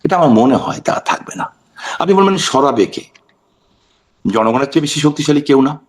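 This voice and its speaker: A man's voice: mid-range voice, animated, brisk. A Bangladeshi man giving impassioned political talk in an interview, emphatic, asking rhetorical questions, repeating phrases and mixing in English words. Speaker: political guest on a talk show.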